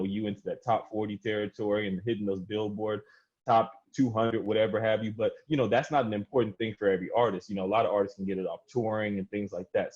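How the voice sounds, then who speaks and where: A man's voice: fairly low pitch, a little mellow, dull audio. A man hosting a music podcast round table, speaking in a slangy, conversational way with casual swearing. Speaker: podcast host.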